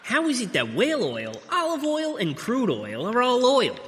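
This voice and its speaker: A man's voice: extremely high pitch, huge pitch swings, moderate pace, a bit bright, soft background music, full character voice. A man playing a dim, rambling pirate lackey in a comic audio drama, with folksy, nitpicking patter. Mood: puzzled, argumentative. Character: dim, lecherous, rambling male pirate goon. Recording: echoey sewer effect with background noise.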